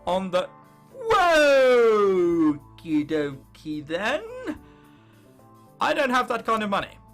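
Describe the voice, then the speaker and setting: A young man with an English accent commentates casually on a video game. His voice is high and bright and swings around a lot in pitch. He is irreverent and sarcastic, often talking to the game itself. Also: sing-song, takes it slowly, soft background music. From England. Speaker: gaming video host.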